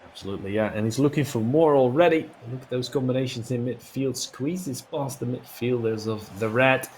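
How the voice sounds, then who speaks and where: A man's voice: mid-range voice, brisk, a little hiss or hum, low-quality recording. A man giving live play-by-play commentary on a football video game as an esports commentator.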